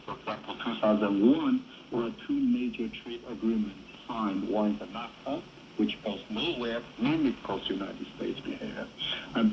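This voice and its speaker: A man with a fairly low voice offers political commentary in halting, non-native English. He is a professor on a panel, heard through clear shortwave static. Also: muffled recording. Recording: shortwave radio with heavy static. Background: shortwave radio noise.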